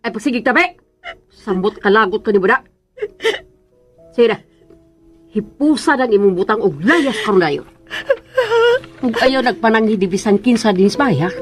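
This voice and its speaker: A woman's voice: medium pitch, normal speed, pauses often, natural voice. An actress with a Filipino accent playing a harsh, haughty woman who bosses servants about in a radio drama. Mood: furious, commanding. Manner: scolding, commanding. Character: harsh, haughty woman bossing and banishing the housemaid. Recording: radio drama with music underneath.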